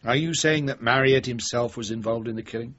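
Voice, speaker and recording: A British actor playing a cornered, wily, middle-aged chief inspector in a radio drama, blunt, sardonic and probing. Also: male voice, mid-range voice, a little fast, fairly bright tone, natural voice. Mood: probing, cynical. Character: cornered, wily veteran police chief inspector, defiant and cynical. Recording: dull, muffled old radio recording.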